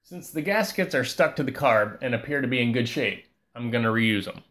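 A man giving a calm, scripted voice-over that walks through a repair step by step. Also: medium pitch, a little fast. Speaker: repair channel host.

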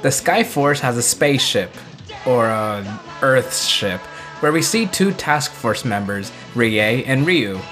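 A man's voice: medium pitch, expressive, takes it slowly, music in the background. A man with an American accent hosting a scripted retrospective, with wry, self-aware joking and casual asides. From United States. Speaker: video essay host.

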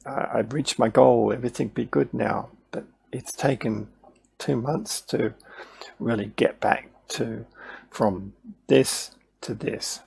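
A middle-aged man with a heavily gravelly, rough and husky voice talks into a headset mic from a small room at home. He speaks candidly and plainly, thinking aloud, restarting his sentences. His voice sits at an ordinary pitch. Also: takes it slowly, a little mellow. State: voice still recovering after losing it. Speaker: video creator sharing his recovery. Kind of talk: casual talk to camera over slides.

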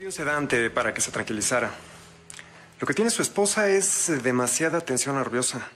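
An actor playing a calm, serious doctor in a telenovela, speaking in a clinical, matter-of-fact way. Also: male voice, medium pitch, normal speed, faint music behind, lightly acted. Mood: grave. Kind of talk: telenovela acting.

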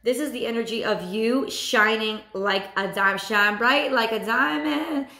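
A woman in her thirties with a hoarse voice, giving a chatty weekly tarot reading to a webcam at home. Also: on the high side, unhurried, echoey room. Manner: animated, repeats phrases, chatty asides. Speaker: tarot reader. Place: small room at home.

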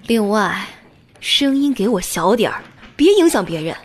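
A woman's voice: medium pitch, very animated, normal speed, slight background noise, close to an everyday voice. A woman playing a brusque, bossy teenage class monitor in an audio comic drama. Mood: curt.

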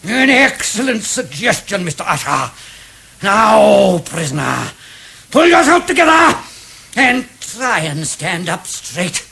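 An actor with an English accent playing a pompous, fussy, biased judge in a radio drama. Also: male voice, high voice, very animated, slow pace, vocal fry, pushed and bright, husky, full character voice. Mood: stern, gleeful. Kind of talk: 1970s British radio drama acting.

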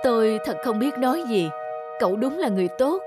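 A woman's voice: fairly high pitch, animated, fairly quick, over loud music, natural voice. An actress voicing a poor middle-aged woman scrap collector in a cartoon, with a humble, pleading manner. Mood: grateful. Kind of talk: cartoon voice acting.

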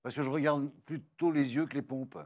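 A man who works as a voice actor, chatting casually in a playful, expressive way and enjoying wordplay. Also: mid-range voice, a little fast. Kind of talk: casual chat.

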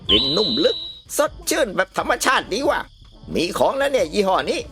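A foul-mouthed old village grandma played by a woman in a cartoon comedy. She scolds bluntly at a quick clip, her pitch leaping wildly, with music faintly behind her. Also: very animated, fairly bright tone. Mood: grumbling, opinionated. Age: older. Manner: brash, scolding, cursing bluntly.

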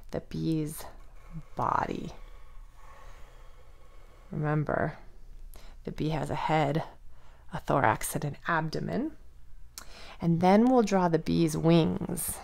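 A woman preschool teacher reading and instructing young children in an expressive, child-directed way. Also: fairly low pitch, creaky. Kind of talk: children's read-aloud and drawing lesson.

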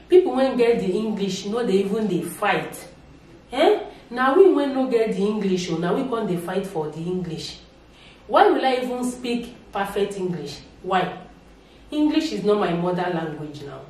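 A young woman from Nigeria with a Nigerian accent, talking to camera in an animated vlog. She repeats words for emphasis and mixes in Nigerian Pidgin. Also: medium pitch. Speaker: YouTuber. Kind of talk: casual opinion vlog to camera. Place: indoors, living room with a sofa. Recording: echoey room, distant mic, faint background hiss.

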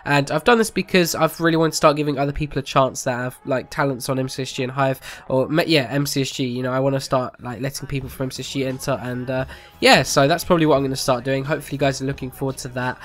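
A man's voice: medium pitch, steady tone, fast talker, hardly any pauses, fairly bright tone. A young man with a heavy cold, hosting a game clip countdown in casual, rambling talk. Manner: rambling, with fillers and restarts. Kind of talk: casual video narration.

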